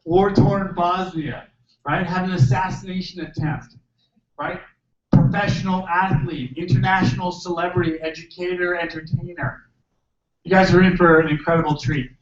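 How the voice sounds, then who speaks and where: A man's voice: high voice, fairly even intonation, normal speed. A man hosting a live event, giving a jokey, hyped stage introduction to the crowd. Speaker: event host introducing a speaker. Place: event hall. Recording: echoey room, distant mic, dull sound.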